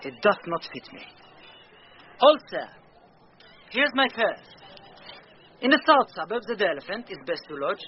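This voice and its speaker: An actor playing a devoted, anxious sea captain, speaking verse earnestly in classic radio Shakespeare style. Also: male voice, sits high, very animated. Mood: guarded, generous. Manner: earnest, measured verse delivery. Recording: dull, faintly noisy old radio broadcast.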